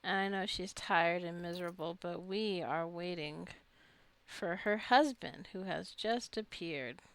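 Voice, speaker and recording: A woman's voice: lowish voice, a little slow, fairly bright tone, clean tone. A woman giving casual let's play commentary, chatty and rambling as she muses aloud. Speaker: gamer narrating own gameplay.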